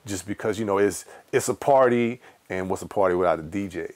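A man's voice: sits low, brisk, darkish tone. A middle-aged man, a DJ, talking casually in an interview in a music studio. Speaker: DJ being interviewed.

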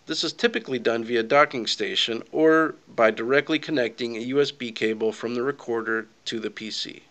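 A man with a medium-pitched voice narrates a software tutorial from a script. He gives instructions step by step in a clear, orderly way, as a voice-over for a screen recording. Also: fairly quick.

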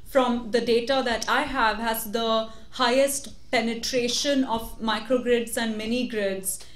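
A woman with a fairly high voice speaks as a panelist in a large meeting room. She explains steadily and checks in with tag questions. The room adds echo. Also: steady tone, measured pace. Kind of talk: panel answer. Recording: roomy hall.

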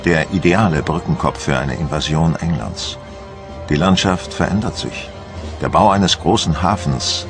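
An actor playing a Frenchman of Napoleon's time, narrating a scripted historical documentary. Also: sits low. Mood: measured, grave. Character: Frenchman of Napoleon's time recounting invasion preparations. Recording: music behind the voice.

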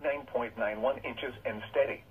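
A man's voice: medium pitch, fairly even intonation, normal speed, narrow, tinny audio, a little hiss or hum. An American man reading a scripted weather broadcast as an announcer, heard through a radio speaker.

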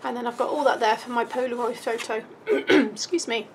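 A woman's voice: on the high side, a little fast, background noise. A British woman giving a casual, chatty step-by-step craft tutorial, thinking aloud as she goes, her voice starting to give out. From United Kingdom. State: starting to lose voice. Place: indoors at a craft table.

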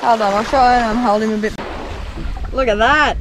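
A woman's voice: on the high side, fairly even intonation, normal speed. A young Australian woman chatting casually for a vlog outdoors, laid-back, upbeat and teasing. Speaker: camping and fishing vlogger. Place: outdoors on an open beach. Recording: noisy outdoor camera audio.

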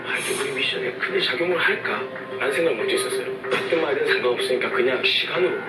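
Brisk soldier giving orders, animated, roomy TV clip with loud music. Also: male voice, fairly high pitch. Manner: brisk, commanding orders in casual speech. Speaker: soldier on a TV competition show. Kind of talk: team briefing and interview. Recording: distant, echoey TV clip under loud music.

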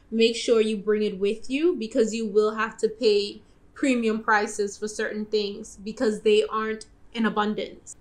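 A young woman vlogging travel tips to camera from a bedroom, chatty and direct. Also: medium pitch. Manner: chatty, direct, addresses viewers as guys. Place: indoor bedroom.

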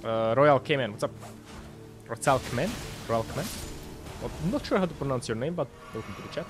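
A young man with a Croatian accent talks through a headset while he plays, greeting chat and reacting loudly to every hit. His pitch swings widely between excited highs and muttered lows. Faint music plays under him. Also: moderate pace. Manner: excitable, swears and laughs while fighting bosses. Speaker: game streamer. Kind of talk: live gameplay commentary reading chat. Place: home streaming room, indoors.

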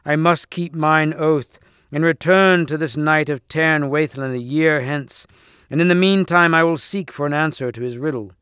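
Man acting a troubled legendary king, grave, solemn, courtly, archaic phrasing, smooth voice, storybook reading. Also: on the high side, normal speed, fairly bright tone, no room sound, studio quality, lightly acted. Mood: troubled, resolute.

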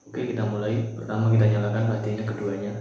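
A man's voice: fairly low pitch, completely flat delivery, fast talker, warm tone, muffled recording. A young man presenting an informal step-by-step product tutorial in a small bare room. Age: young adult. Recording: very echoey, reverberant room.

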